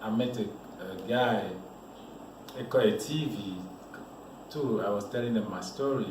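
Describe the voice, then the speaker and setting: Male model from Cameroon, interview guest; low voice, loose storyteller, distant mic, roomy and noisy. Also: measured pace. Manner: relaxed, rambling storyteller with restarts. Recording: echoey room, voice from a distance, noisy background.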